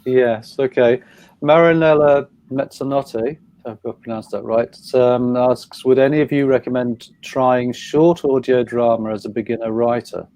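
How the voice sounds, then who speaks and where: Mellow-voiced man chairing a panel. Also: medium pitch, fairly even intonation, a little slow. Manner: hesitant, filler-heavy questions. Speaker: event chair. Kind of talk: moderating a panel discussion.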